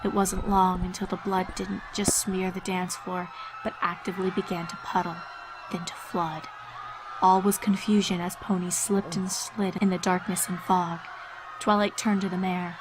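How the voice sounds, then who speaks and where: A woman's voice: lowish voice, little pitch movement, moderate pace, background noise. A woman giving a dramatic scripted narration of a horror fan-fiction story, with music behind her.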